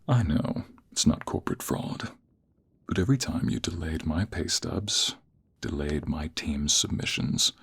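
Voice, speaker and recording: A man acts out a cocky, flirtatious office rival for an ASMR roleplay. His voice is extremely deep and very husky, often sinking toward a breathy whisper. He takes his time, drawing out each taunt slowly and deliberately. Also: a bit bright, full character voice. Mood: challenging, sly. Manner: slow, deliberate, taunting, drawn-out phrases. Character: smug suited office rival, teasing, aggressively flirting. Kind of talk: ASMR romantic roleplay, intimate second-person acting.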